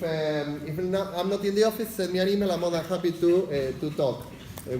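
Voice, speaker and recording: Man, Argentine Spanish accent, university lecturer, fast-talking, high voice, echoey lecture hall. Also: a little mellow. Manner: fast-talking, frequent "yes?" check-ins, filler "uh". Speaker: university lecturer, unit leader. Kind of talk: lecture introduction. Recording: echoey lecture hall, faint background noise.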